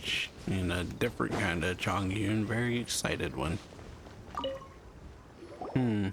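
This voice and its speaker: A man's voice: fairly low pitch, slow pace, noisy background. A man casually commenting on a game as a reaction host, with laid-back, muttered short remarks. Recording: game music behind.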